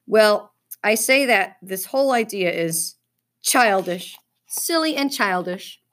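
A woman's voice: mid-range voice, lively intonation, slow pace, a bit bright. A woman reading a children's story, voicing a stubborn, indignant fifth-grade girl who is snippy and haughty.

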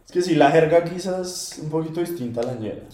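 A man's voice: on the high side, fairly quick, over a noisy crowd. A man chatting on a podcast, musing and asking hedged questions. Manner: thoughtful, hedging questions. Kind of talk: casual group chat. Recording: very echoey, distant from mic.

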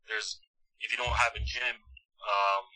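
A man's voice: medium pitch, flat delivery, a little slow, pushed and bright, low-quality recording. A middle-aged man from Montreal, a former basketball player, chatting as a guest in a casual interview. He talks at length and with emphasis, often repeating words as he builds a thought. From Montreal, Canada.

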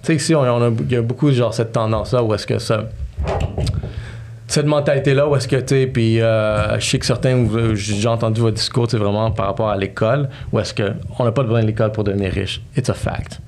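A man's voice: on the low side, fairly even intonation, a little fast, darkish tone, slight background noise. A man in his thirties with a Quebec French accent, telling his life story as a podcast guest, freely mixing English slang into his French. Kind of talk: casual storytelling interview.

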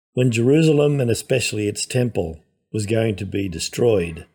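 A male priest and professor giving a scripted gospel reflection in a measured, explanatory manner. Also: fairly low pitch, dark tone, husky.

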